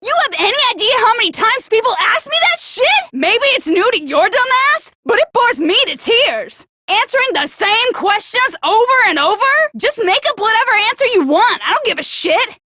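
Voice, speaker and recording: A woman's voice: very high voice, very animated, moderate pace, few pauses, bright, forceful tone, no echo, clean studio sound, exaggerated voice. A woman voicing a manic, bratty, foul-mouthed teenage girl villain in an anime video game, brash and explosive. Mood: manic, bored rage.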